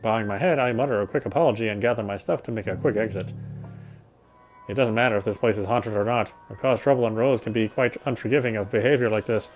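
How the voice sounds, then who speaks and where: A man's voice: lowish voice, fast, faint music behind. Man reading game narration aloud. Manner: unpolished read with stumbles and corrections.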